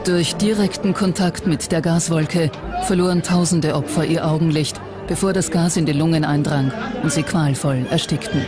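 A narrator with a mid-pitched voice delivers scripted documentary narration. Music plays clearly behind the voice throughout. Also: fairly even intonation, moderate pace, a crowd in the background. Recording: narration over prominent music. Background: background music.

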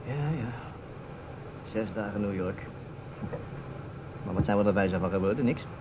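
An actor playing a pessimistic police inspector in a radio drama, grumbling in clipped phrases. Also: male voice, fairly low pitch, moderate pace, natural voice. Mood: gloomy grumbling. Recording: muffled, noisy old radio recording.

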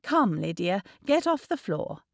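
A woman's voice: mid-range voice, very animated, unhurried, vocal fry, no echo, studio quality. A woman voicing a young lady in an audiobook, earnest, polite and spirited. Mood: concerned.

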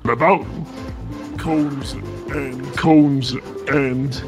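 A man's voice: mid-range voice, fairly even intonation, drawn-out words. A man giving a theatrical, declaiming comic reading of a mock-epic for an online radio show. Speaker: story reader. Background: music.

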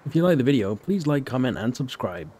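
Slightly hoarse man narrating gameplay. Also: mid-range voice, brisk, slight background noise. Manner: relaxed, chatty, thinking aloud. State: sore throat, slightly hoarse. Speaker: gaming channel host. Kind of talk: casual gameplay commentary.

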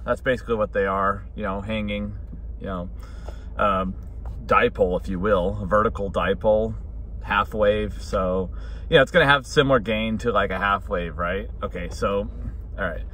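A man's voice: lowish voice, fairly even intonation, moderate pace, fairly bright tone. A man, chatting bluntly and casually in an opinionated vlog. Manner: blunt, informal. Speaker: hobbyist radio vlogger. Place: inside a car. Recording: clear vehicle noise behind voice.

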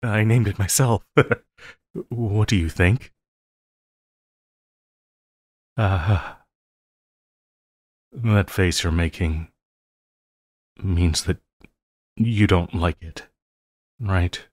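A man playing a lovesick, possessive supervillain in an intimate audio roleplay, pleading haltingly and using tender endearments. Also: low-pitched, a little mellow, no echo, full character voice. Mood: awkward, coaxing. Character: lovesick, possessive yandere supervillain pleading with his beloved hero.

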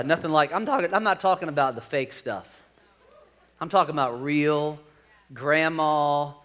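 Man, 40s, senior pastor. High-pitched, folksy, joking sermon delivery from a church stage. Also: fairly bright tone. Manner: folksy and joking, talks to the crowd. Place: large church stage with screens.

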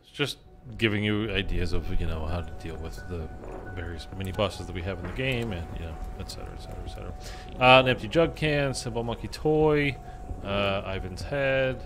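A man's voice: lowish voice, a little fast, music in the background. A man casually commenting on a game as a streamer, loose and rambling with many fillers.

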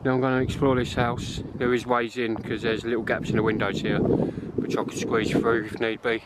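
A man's voice: medium pitch, flat delivery, moderate pace, heavy background noise. A man casually narrating as he explores an abandoned farm, wondering aloud and talking to viewers. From England. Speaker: urban explorer vlogger. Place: outdoors and in derelict farm barns.

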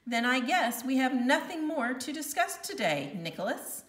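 A woman voicing a stern, controlled female schoolteacher in a storybook, firm, emphatic and measured, in a roomy recording. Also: sits high, big pitch swings, a bit bright, voice pushed up. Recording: roomy, slightly distant home recording.

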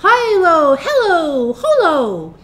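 Woman as an earnest, high-voiced young girl. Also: wildly swooping pitch, fairly quick, fairly bright tone, exaggerated voice, low-quality recording. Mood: chanting. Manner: earnest, questioning.

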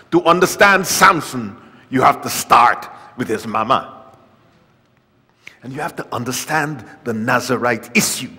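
Older preacher in a reverberant church. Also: male voice, medium pitch. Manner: wry humor, swings from loud emphatic reading to quiet asides. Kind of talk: sermon with scripture reading. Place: church sanctuary at a lectern. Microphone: lectern mic, far camera. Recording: long church hall reverb.

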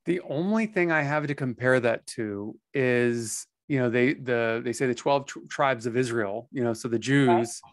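A man's voice: medium pitch, moderate pace, few pauses, no room sound. A man in his forties from the United States hosting a podcast interview over video, talking in a rambling, hesitant way full of "you know" and "um", on a close headset mic. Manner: rambling, hesitant, many fillers. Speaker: podcast host. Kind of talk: casual interview conversation. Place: small home studio room.

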